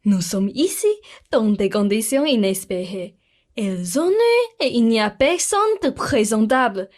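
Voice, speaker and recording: Scheming society mother played by a woman, fairly high voice, affected, coaxing, calculating, radio comedy. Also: very animated, measured pace, darkish tone. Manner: insistent, instructing, affected. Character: scheming, money-minded society mother, middle-aged, coaxing. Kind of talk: comic radio play acting in French.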